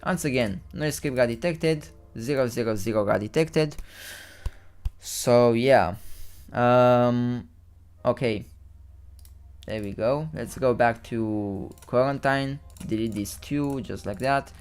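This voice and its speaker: Man, Romanian accent young streamer, smooth clear voice, rambling stumbling commentary, faint music bed. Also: mid-range voice, slow, darkish tone. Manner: rambling, restarts and stumbles over words. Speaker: live-stream host. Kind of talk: casual live-stream commentary.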